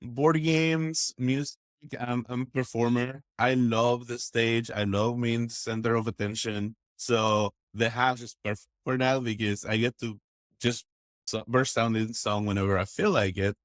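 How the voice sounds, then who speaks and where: A man's voice: mid-range voice, measured pace, no echo. Enthusiastic man telling stories, dry sound. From Texas. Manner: enthusiastic, expressive storyteller. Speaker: podcast guest. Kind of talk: casual interview storytelling.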